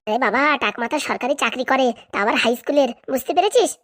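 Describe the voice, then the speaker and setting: Woman acting as middle-aged mother, comedy cartoon, high voice, rapid, nagging, practical, insistent. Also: animated, fairly bright tone. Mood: persuading. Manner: nagging, repeatedly checking she is understood. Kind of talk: Bengali comedy cartoon dub.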